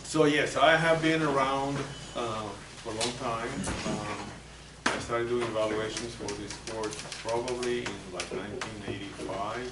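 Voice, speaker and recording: A man in his sixties, a native Spanish speaker with a Spanish accent, lecturing lawyers as a psychologist in a soft, hesitant way with many fillers. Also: medium pitch, steady tone, measured pace, few pauses. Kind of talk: continuing-education lecture. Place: meeting room. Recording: echoey room, mic far off, noisy.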